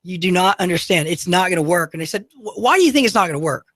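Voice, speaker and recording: A man with a high, slightly goofy voice hosting local talk radio, talking to listeners directly in a folksy, salesy way. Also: animated, fast talker, a bit bright, no room sound, clean studio sound. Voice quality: high-pitched, goofy-sounding voice. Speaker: radio show host. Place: radio studio.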